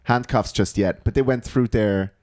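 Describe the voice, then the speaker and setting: A man's voice: medium pitch, moderate pace, a little mellow. A man co-hosting a podcast, telling a story in casual chat between frequent laughs. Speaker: podcast co-host.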